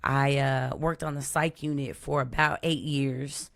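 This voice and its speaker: A woman from Texas, hosting a live stream in a relaxed, chatty way over an online call. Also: very deep voice, a little slow, creaky. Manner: relaxed, chatty, open about personal experience. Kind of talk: casual chat. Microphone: online call or stream mic.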